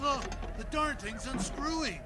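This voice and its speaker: A man plays a commanding, alarmed crowd guard in a radio drama. His calls are pitched very high, slow and drawn out, and swing widely. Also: lively intonation, bright, forceful tone, faint music behind, heavily acted.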